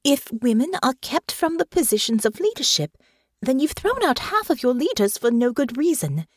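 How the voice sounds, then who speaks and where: An eager young noblewoman, as a woman puts her on, pitched up and lively, tripping over her own words when pressed. Her earnestness runs through every line. The sound is close and dry. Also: no room sound. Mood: earnest, flustered. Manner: eager, halting, stumbling restarts.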